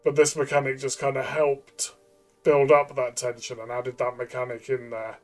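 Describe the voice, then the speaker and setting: Mid-pitched man, halting casual talk. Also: completely flat delivery, a little mellow, soft background music. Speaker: tabletop game reviewer and player. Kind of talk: casual review discussion.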